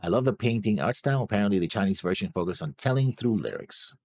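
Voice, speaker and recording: A man co-hosting a casual reaction show, reading comments aloud haltingly and stumbling over names. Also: lowish voice, lively intonation, a little mellow, no echo.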